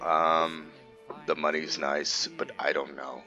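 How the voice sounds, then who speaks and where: A man giving casual, low-key gameplay commentary as a channel host, thinking aloud as he plays. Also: little pitch movement, slow. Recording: slightly dull sound, faint game music. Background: game music and character voices.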